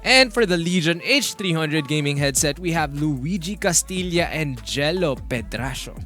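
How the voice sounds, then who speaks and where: A woman's voice: sits low, expressive, moderate pace, a bit bright. A woman with a Filipino accent co-hosting a live esports panel, enthusiastic and emphatic, switching between two languages. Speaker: esports broadcast co-host. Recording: music behind the voice.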